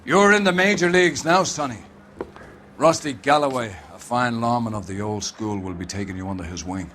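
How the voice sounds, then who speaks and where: An actor playing a brisk, authoritative police captain briefing his squad in a formal, declamatory manner in a video game. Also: mid-range voice, bright, forceful tone, slight background noise, close to an everyday voice. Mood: commanding. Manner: commanding, declamatory.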